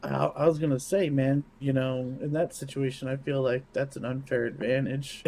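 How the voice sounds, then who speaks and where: A man's voice: mid-range voice, little pitch movement, normal speed, gravelly, mellow. A man co-hosting a podcast, talking in a casual, conversational way. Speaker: podcast co-host. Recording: faint background noise.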